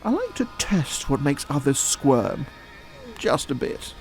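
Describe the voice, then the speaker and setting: An actor playing a worldly, middle-aged father in an audio drama, smooth-talking, provocative and theatrical. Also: medium pitch, huge pitch swings, takes it slowly, soft background music, full character voice. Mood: teasing, knowing.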